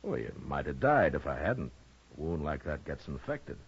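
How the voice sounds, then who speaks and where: Deep-voiced western marshal. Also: male voice, big pitch swings, moderate pace, husky, heavily acted. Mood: calm, reassuring. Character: steady, compassionate frontier marshal in his prime. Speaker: radio drama lead actor. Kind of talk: old time western radio acting. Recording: muffled old radio recording with music.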